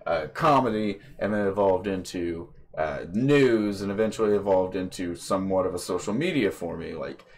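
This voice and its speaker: A man's voice: sits low, moderate pace, hardly any pauses, a little hiss or hum. A young man hosting a podcast, chatting casually over a voice call, rambling with lots of fillers and casual swearing. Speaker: podcast host. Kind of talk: casual chat. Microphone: computer microphone on a voice call.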